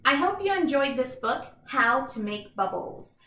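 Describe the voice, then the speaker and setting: Bright-voiced woman reading to children, roomy sound. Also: high voice, very animated, unhurried, slight background noise. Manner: enthusiastic, instructive, addressing young children directly. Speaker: teacher reading a children's book aloud. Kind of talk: children's read-aloud with chatty explanatory asides.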